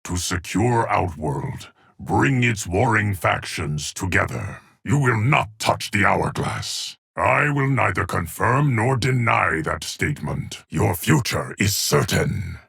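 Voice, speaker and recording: Game casting: an ageless, solemn keeper of time, low rumbling gravel, dry close sound, measured formal pronouncements. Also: male voice, animated, fairly bright tone, dry recording, exaggerated voice. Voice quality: deep, gravelly, husky. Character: solemn ageless immortal male guardian of time. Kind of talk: video game character voice lines.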